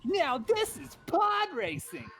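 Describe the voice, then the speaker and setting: A man voicing an excited young boy pilot in an amateur comedic script reading, loud and exclamatory, with music underneath. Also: very high voice, slow pace, full character voice. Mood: gleeful.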